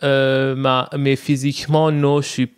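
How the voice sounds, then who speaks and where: A man's voice: medium pitch, flat delivery, measured pace, clean tone. A man from Iran, a guest telling his story in French, hesitant, with many restarts and fillers. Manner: hesitant, many restarts and "euh", mixes in English words. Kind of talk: personal testimony in conversation.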